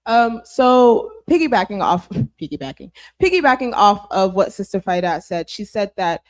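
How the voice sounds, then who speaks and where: Young female moderator, friendly and loose, mid-pitched. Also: big pitch swings, normal speed. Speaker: panel moderator. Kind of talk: moderating a panel.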